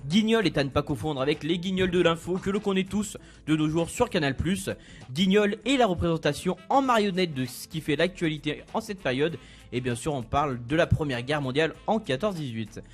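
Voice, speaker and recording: A young man reading a weekly culture events column on a radio show in a brisk, informative way. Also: a little high, expressive, faint music behind. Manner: brisk, informative, laughs easily.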